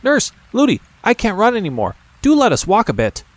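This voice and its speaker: Guy doing a small princess girl, squeaky high, chatters on and on. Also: huge pitch swings, brisk, no echo, heavily acted. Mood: tearful pleading. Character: small frightened-curious little girl princess.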